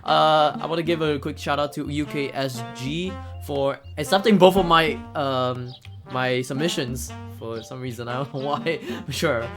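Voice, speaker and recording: A speedrunner from Singapore giving casual, rambling live game commentary in an excitable, giggly manner. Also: mid-range voice, normal speed, soft background music.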